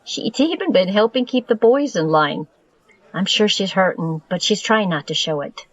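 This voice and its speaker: A kind, caring traveler, played by a woman speaks in a friendly, mid-pitched voice. The recording sounds a little dull. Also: expressive, moderate pace, lightly acted.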